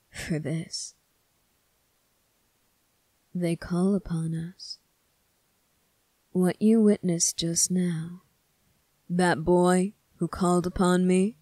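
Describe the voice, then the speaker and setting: Woman as a young male demon prince, low-pitched for a woman, deliberate, bitter, accusing, close dry sound, ASMR roleplay. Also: darkish tone, no echo. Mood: solemn, condemning. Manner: slow, deliberate, accusing, with breathy whispered phrases. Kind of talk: scripted ASMR roleplay monologue.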